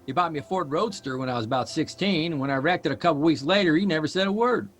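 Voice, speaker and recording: Reflective male columnist, understated and plain-spoken, audio drama, lightly raised pitch. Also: fast, fairly bright tone.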